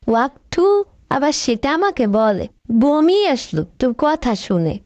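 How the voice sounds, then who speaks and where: A woman acts out a deposed lady leader from a comic cartoon, high in pitch and imperious. She scolds and mocks theatrically in colloquial speech. The sound is close, dry and slightly dull. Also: big pitch swings, unhurried, darkish tone, no echo. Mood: scolding, disgusted.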